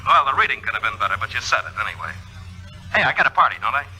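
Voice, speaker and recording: An actor playing a brash, teasing young theater director in a 1950s Hollywood radio drama, speaking in an emphatic, wry, theatrical way. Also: male voice, medium pitch, big pitch swings, rapid-fire, bright, forceful tone, low-quality recording. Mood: warm, teasing. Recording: vintage radio recording, music behind.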